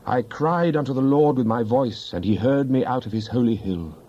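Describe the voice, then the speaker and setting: A man's voice: mid-range voice, normal speed, dark tone. A man giving a solemn, declamatory dramatic recitation of psalms as a scripture reader. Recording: dull lo-fi, faint background noise.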